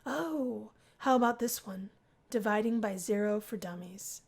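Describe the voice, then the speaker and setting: A woman's voice: mid-range voice, measured pace, gravelly. A woman voicing a shy, bookish young female college student in a visual novel read-through.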